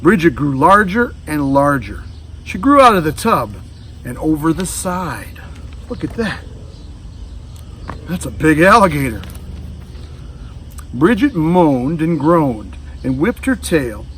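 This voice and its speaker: A man's voice: a little high, very animated, slow pace, long pauses, a bit bright, distant mic, a little hiss or hum. A man reading a children's storybook aloud, adding casual asides to young listeners. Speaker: storybook reader. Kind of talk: children's read-aloud narration.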